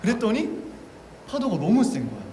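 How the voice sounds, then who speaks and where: A young man in a casual live-stream chat, reading fan comments and playful, often jumping up in pitch when excited. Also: moderate pace. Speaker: idol group member hosting a live stream. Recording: echoey room with steady ambient noise.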